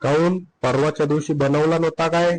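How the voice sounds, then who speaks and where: A man's voice: a little high, steady tone, fairly quick, darkish tone, close to an everyday voice, poor audio. A man playing a rude, grumbling husband in a comedy cartoon, blunt and sarcastic with a Vidarbha Marathi accent. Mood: exasperated, indignant.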